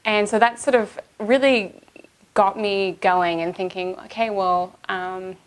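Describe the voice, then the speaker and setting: A woman's voice: medium pitch, fairly even intonation, unhurried. Chatty Canadian woman vlogging. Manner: chatty, rambling, many fillers. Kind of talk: casual chat to camera.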